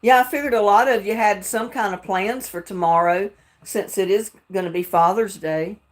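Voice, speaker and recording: A woman's voice: medium pitch, normal speed. An older woman from North Carolina with a Southern drawl that carries some Texas, chatting casually with viewers on a livestream and chuckling often. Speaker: livestream host. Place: home in Texas.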